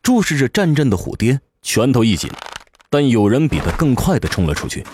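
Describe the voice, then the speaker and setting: Highly animated male dramatic narrator. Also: a little fast, a little hiss or hum. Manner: theatrical, suspenseful storytelling. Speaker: narrator, professional voice actor. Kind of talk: dramatic scripted audiobook narration. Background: music bed.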